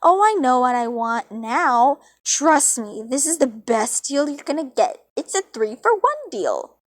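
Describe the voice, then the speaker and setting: A woman's voice: high voice, sing-song, measured pace, no room sound, clean studio sound. A woman voicing a teasing, theatrical male chaos creature villain from a storybook, with a mischievous, mocking manner. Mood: gleeful, sly.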